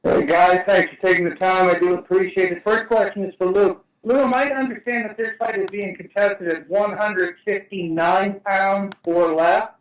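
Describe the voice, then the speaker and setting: A man with a high voice politely puts a question as a reporter on a conference call. The line is roomy and he sounds distant. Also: fairly even intonation, moderate pace, few pauses. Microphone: phone on a conference call. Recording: echoey, distant teleconference phone line.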